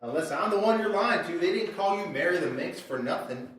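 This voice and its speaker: Guy doing bitter gruff dad, suspicious, slightly high male voice, big echoey room. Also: animated, a little fast, fairly bright tone. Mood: bitter sarcasm. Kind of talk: live radio sketch comedy. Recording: very echoey room, distant mic.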